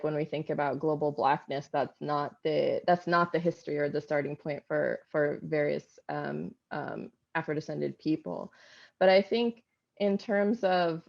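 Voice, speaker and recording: A woman on a panel speaks with a very low, gravelly voice, picking her words slowly and filling gaps with soft hesitations. The sound comes through a video call and is dull and lo-fi. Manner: thoughtful, halting, many fillers. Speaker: panelist, philosopher. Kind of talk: academic panel answer.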